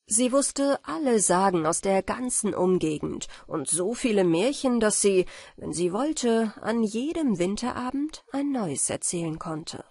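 A woman narrates a bedtime fairy tale in a measured, expressive way. Her pace is slow and her pitch moves a lot. The recording is close and dry. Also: slightly rough, no echo. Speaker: audiobook narrator.

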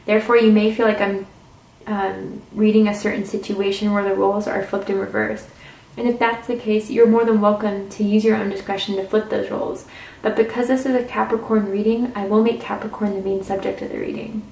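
A woman giving a casual tarot reading to camera at a table, chatty and intuitive, with drawn-out "ooh"s and "mm"s. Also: mid-range voice, level tone, vocal fry, darkish tone. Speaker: tarot reader. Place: indoors at a tarot table with crystals and candles. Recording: roomy, distant camera mic with faint hiss.

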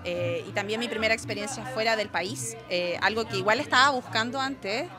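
A woman's voice: on the high side, normal speed. A woman from Chile in her thirties with a Chilean Spanish accent, talking candidly into a handheld mic. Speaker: interviewee. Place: festival backdrop, outdoors. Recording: music in the background.

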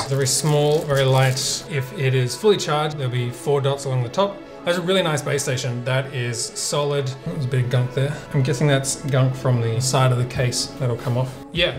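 An Australian man in his thirties presents a casual tech vlog. His voice sits at a middling pitch, and music plays clearly behind him as he talks through gear. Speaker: tech vlogger and director. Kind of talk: casual unboxing and review narration.

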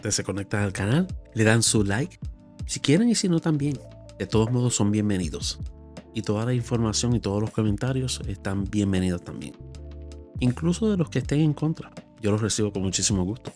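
A man's voice: on the low side, animated, brisk, a little mellow, faint music behind. A man in his sixties with a Puerto Rican accent, speaking emphatically into a close studio microphone, scolding his viewers in a solo commentary from a small room at home. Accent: Puerto Rican Spanish. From Puerto Rico. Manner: earnest, scolding, emphatic, talks with his hands. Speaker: YouTube commentator. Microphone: large studio mic on a boom, close up.

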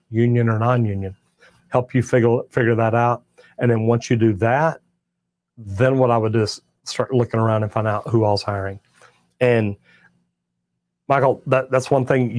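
A man's voice: medium pitch, a little mellow, dry recording. An older man with a Texan accent, a master plumber hosting a casual livestream and answering chat questions in a folksy, plainspoken way. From Texas. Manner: folksy, plainspoken, drawling storyteller. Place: studio set with shelves of tools and merch. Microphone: clip-on lapel mic.

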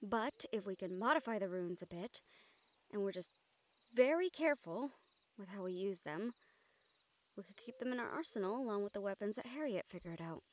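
A woman playing an excitable, caring young mage in an intimate audio roleplay, with animated, halting delivery. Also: mid-range voice, lightly acted. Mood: tired, thoughtful. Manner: animated, halting, swearing freely.

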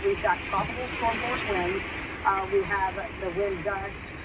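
A woman forecaster delivering a broadcast weather report in an urgent, measured way. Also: medium pitch, fairly even intonation, heavy background noise. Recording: TV audio recorded off a set.

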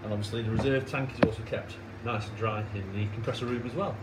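A man giving a signal box tour, calmly explaining how the equipment works, recorded on a handheld phone camera. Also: on the low side, quick pace. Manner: plain, matter-of-fact, pointing things out. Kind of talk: technical explanation on a walkthrough. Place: inside a railway signal box. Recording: echoey room with loud background noise.

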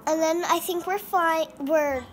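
Very high, slow little girl. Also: fairly even intonation, soft background music. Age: child. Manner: slow, halting, restarts words. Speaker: vlog co-host. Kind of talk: casual vlog chat. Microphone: phone camera.

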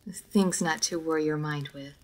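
A woman voicing a formal, guarded, melancholy aristocratic vampire in a roleplay audio drama, speaking in measured phrases to the listener. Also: low-pitched, fairly even intonation, close to an everyday voice. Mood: somber, formal.

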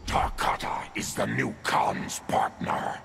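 An actor playing a savage, defiant monster warlord in a video game, with a growling, blunt, menacing delivery. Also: male voice, slow, faint music behind. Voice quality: deep gravelly growl. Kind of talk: video game character dialogue. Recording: loud noise behind voice.

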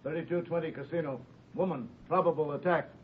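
An actor playing a male desk officer handling calls in a brisk, routine way in a 1940s radio drama. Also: a little high, steady tone, close to an everyday voice. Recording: phone-like old broadcast with hum.

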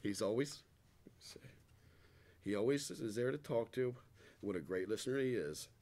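Nervous, low-voiced middle-aged man. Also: lively intonation, moderate pace, darkish tone. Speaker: church member giving a testimony. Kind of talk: prepared thanksgiving testimony. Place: church sanctuary at a lectern. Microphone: stand microphone. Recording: noisy church hall recording.

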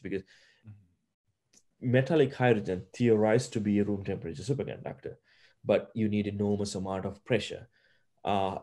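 A man's voice: on the low side, mellow. A man from Sri Lanka, a physics professor, explaining his research in a video-call interview, hesitant with many fillers and restarts. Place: wooden-walled room at home.

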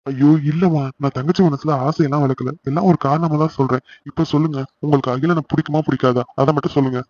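Fast-talking, earnest older brother played by a man. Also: fairly high pitch, steady tone, a little mellow, no echo, dull audio, natural voice. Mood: calm, reasoning. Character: protective, emotional young adult older brother pleading with family. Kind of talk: melodramatic family audio drama.

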